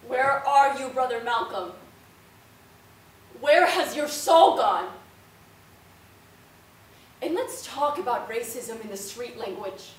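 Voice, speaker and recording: A woman's voice: on the high side, lively intonation, slow pace, pauses often. A woman playing an earnest young Puerto Rican-American in a stage play, speaking dramatically and emphatically. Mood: impassioned, mournful. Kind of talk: theatrical stage acting. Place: indoor stage, recorded from far off. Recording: roomy, distant stage recording, faint noise.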